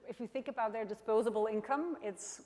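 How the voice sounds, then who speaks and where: A middle-aged woman from Denmark, an insurance executive speaking on stage, with thoughtful, slightly halting phrasing. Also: a little high, a little mellow. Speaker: panel guest, insurance executive. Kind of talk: onstage conversation. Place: conference stage. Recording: reverberant conference hall.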